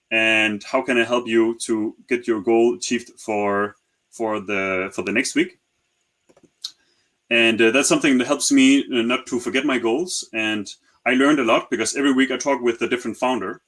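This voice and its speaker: A man's voice: mid-range voice, fairly bright tone. Hesitant man stumbling over repeats. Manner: hesitant, many repeated words and uhs. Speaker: startup founder. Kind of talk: webinar talk.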